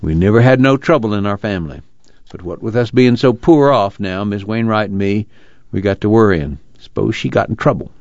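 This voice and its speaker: A man voicing a poor, proud, worried rural father with halting, folksy speech in a dramatized reading. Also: on the low side, a little mellow, no echo, lightly acted. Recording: dull, muffled audio.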